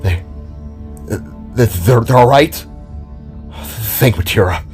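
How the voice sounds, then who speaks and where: A man's voice: mid-range voice, slow pace, darkish tone, faint music behind, natural voice. A man voicing a desperate, protective and stubborn young male hero in an audio story. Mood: shaken relief.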